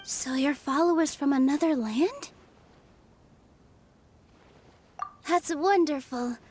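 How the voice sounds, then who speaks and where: A woman playing a sweet, enthusiastic young female dancer in a video game, with a sincere, expressive delivery. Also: high voice, slight background noise, natural voice. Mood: cheerful. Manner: bright-spirited, sincere, expressive.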